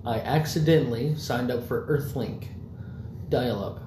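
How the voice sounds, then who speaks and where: A man's voice: mid-range voice, measured pace. Rambling, swearing young man in an echoey room. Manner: rambling, swears often, many fillers. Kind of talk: casual chat. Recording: echoey room, faint background noise.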